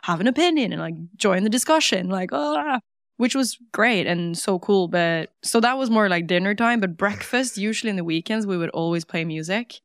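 Smooth-voiced young Norwegian woman, dry close sound. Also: mid-range voice, expressive, normal speed, no room sound. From Norway. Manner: warm, eager, many "like" fillers. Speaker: guest, singer. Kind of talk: casual interview.

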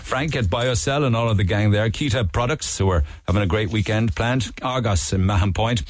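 A man with a Cork Irish accent hosting a radio show, reading listener shout-outs and teasing callers. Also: fairly low pitch, no room sound. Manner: brisk, jokey, teasing. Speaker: radio host. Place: radio studio.

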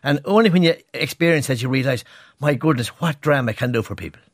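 An Irish man speaks earnestly and with emphasis as a guest on a radio programme. His pitch sits in the middle range for a man. The studio sound is dry, with no room echo. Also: expressive, fast. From Ireland. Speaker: guest performer. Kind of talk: radio interview. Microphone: studio microphone.

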